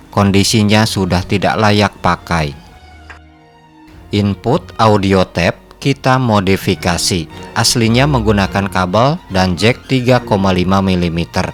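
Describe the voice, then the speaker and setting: Male narrator for a technical how-to: deep pitch, methodical and formal, scripted read over soft music. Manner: instructional, measured, formal step-by-step phrasing. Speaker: host of an electronics repair channel. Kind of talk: scripted narration of a repair tutorial.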